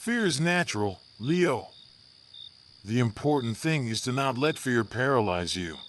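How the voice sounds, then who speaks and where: Man as a gentle, wise elephant mentor. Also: medium pitch, big pitch swings, a little hiss or hum. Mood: reassuring. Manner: gentle, measured, reassuring. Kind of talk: children's storybook character voice.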